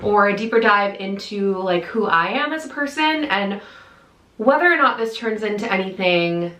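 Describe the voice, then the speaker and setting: A woman's voice: mid-range voice, lively intonation, moderate pace, fairly bright tone. Chatty woman in her late twenties, echoey room. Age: late 20s. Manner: chatty and candid, many fillers and restarts. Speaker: content creator. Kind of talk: casual talk to camera.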